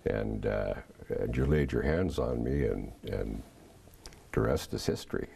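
An older man giving a testimony in a TV studio interview, answering in a halting way with many restarts. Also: rumbling low, creaky, warm tone, slightly rough. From Canada. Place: small TV studio set, indoors.

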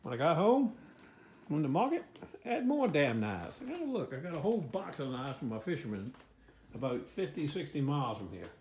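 A man's voice: a little high, very animated, fairly quick, darkish tone. An older man with an American accent gives a casual, rambling walk-through in a folksy, plain-spoken way, as a vlogger filming on his phone. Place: inside a house under renovation, later outdoors. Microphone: handheld phone. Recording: echoey rooms, background noise, handheld.

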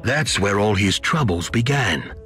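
An actor playing a wily, chatty starship captain in a video game, talking in a theatrical, glib and courteous way. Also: male voice, on the low side, lively intonation, unhurried, fairly bright tone, faint music behind. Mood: sly, gossipy.

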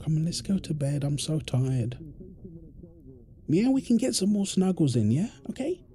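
A man playing an affectionate, tired husband and father in an intimate audio roleplay, talking tenderly with soft endearments. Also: a little high, expressive, normal speed, close to an everyday voice. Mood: loving, sleepy. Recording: clear background ambient noise.

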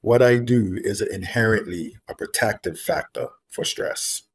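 A man in his thirties from Bermuda, a homeless advocate, talking in an earnest, measured way over a video call from home and repeating key phrases for emphasis. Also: fairly low pitch, lively intonation, vocal fry, a little hiss or hum. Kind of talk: reflective interview talk. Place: room at home. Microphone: video call, laptop camera.

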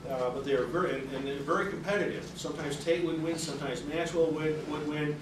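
Middle-aged guy lecturing at a lectern, lots of uh and restarts, kinda high voice, really echoey room, mic far off. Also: fairly even intonation, a little fast, noisy background. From native English speaker. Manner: conversational, many fillers and restarts, reads quotes aloud with rising energy. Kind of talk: public lecture. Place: carpeted seminar room with audience. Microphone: room mic, away from the speaker at a lectern.

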